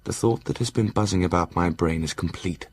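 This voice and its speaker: A man playing a brooding Victorian asylum doctor, narrating his journal in a measured, formal way for an audio drama. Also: sits low, darkish tone. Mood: measured, observant. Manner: measured, formal, literary delivery.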